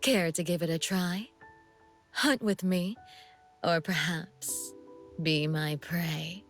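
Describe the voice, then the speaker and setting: A seductive female assassin from a video game, with a lowish voice and very slow, languid delivery. She is coolly amused and teasing, breathy at times, with a sadistic menace under every phrase. Music plays behind her. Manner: languid, teasing, deliberate, sometimes breathy near-whisper. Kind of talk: video game character voice lines.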